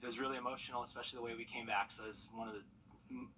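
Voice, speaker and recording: A man giving a post-game interview as a ballplayer, talking quickly and hesitantly, with fillers. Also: mid-range voice, steady tone, sharp tone, far from the mic, a little hiss or hum, rough recording. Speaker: baseball player.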